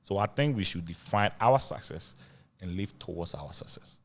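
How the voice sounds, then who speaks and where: A man's voice: deep voice, lively intonation, normal speed. A man hosting a casual discussion, reflective and leaning on filler phrases as he thinks aloud. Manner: reflective, leans on "you know", soft-spoken. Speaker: host.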